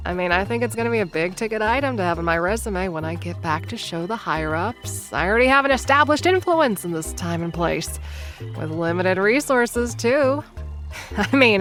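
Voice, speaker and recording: A woman's voice: mid-range voice, a bit bright, close to an everyday voice. A woman playing a bubbly, rambling would-be influencer. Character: scatterbrained young woman, wannabe influencer time traveler. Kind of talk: audio drama narration to the listener.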